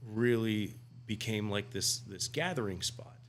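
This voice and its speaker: A man's voice: fairly low pitch, animated, unhurried, slight background noise. A man talking casually on a podcast as a guest, rambling with many restarts and fillers. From United States. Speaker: guest homebrewer.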